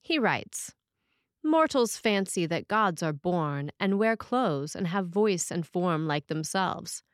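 A woman reads a scripted sermon as a priest, phrasing it in a measured, deliberate way with pauses. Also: on the low side, dry recording, studio quality. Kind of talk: scripted sermon, read aloud.

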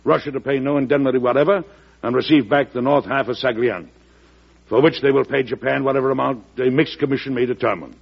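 Hearty American president, gravelly husky voice, commanding oratory, vintage radio drama. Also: male voice, medium pitch, fast talker. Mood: resolute. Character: hearty, shrewd American president, middle-aged statesman. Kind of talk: old-time radio drama acting. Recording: muffled vintage radio recording.

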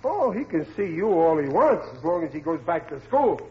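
A man's voice: fairly high pitch, very animated, normal speed. An actor playing a blustering, dim working-class father in a 1940s radio comedy, with slangy, exasperated speech. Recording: muffled, hissy old radio recording.

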